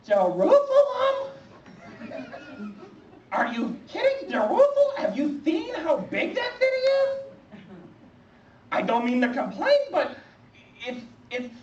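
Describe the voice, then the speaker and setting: A whiny, stammering young prophet with a squeaky lisp, as a puppeteer puts him on for a children's church skit. He speaks slowly, with pitch that leaps all over. The sound is muffled and echoey, caught from a distance with faint noise. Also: big pitch swings. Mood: panicky. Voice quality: squeaky, lisping chipmunk-like voice. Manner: stammering, fretful complaining. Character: young reluctant prophet Jeremiah, nervous and whiny.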